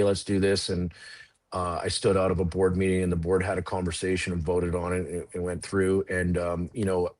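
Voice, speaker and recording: A man answering interview questions at a measured pace, pausing with frequent fillers. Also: low voice, dry recording. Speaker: guest, series sponsor.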